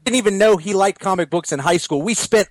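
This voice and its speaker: A man who grew up in Japan, a guest on a podcast, chatting in a friendly, halting way with many restarts. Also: sits high, no room sound, clean studio sound. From Japan (grew up on US bases there). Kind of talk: casual podcast chat.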